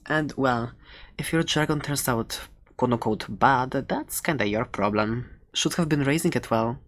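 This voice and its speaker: A performer voicing a chatty, excitable dragon-egg shopkeeper and adventurer in a soft-spoken ASMR roleplay, playful and rambling with light sarcasm. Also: medium pitch, measured pace, a little hiss or hum, lightly acted. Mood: excited, playful.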